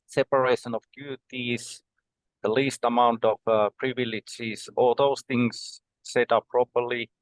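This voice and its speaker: A man in his forties from Finland, a podcast guest explaining things in a measured way, with many um and uh pauses. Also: medium pitch, dry recording, poor audio. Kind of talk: conversational podcast interview.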